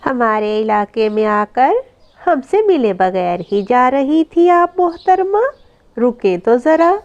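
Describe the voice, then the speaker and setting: A woman's voice: on the high side, very animated, slow. A woman voicing a sly, suspicious younger bird of prey in a children's cartoon, mocking and menacing, on dull audio. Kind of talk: Hindi children's cartoon villain acting.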